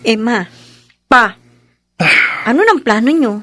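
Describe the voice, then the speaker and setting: Polite young daughter, high voice, very lively intonation, emotional, Filipino accent, radio drama. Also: female voice, slow pace, a little hiss or hum. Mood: worried. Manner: polite, expressive.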